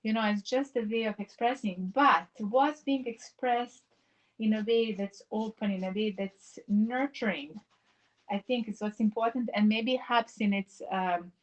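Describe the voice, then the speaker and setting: A woman, a live-streaming artist chatting casually while she paints, musing in wandering sentences with many pauses. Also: medium pitch, dull audio. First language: Serbo-Croatian. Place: home in the mountains.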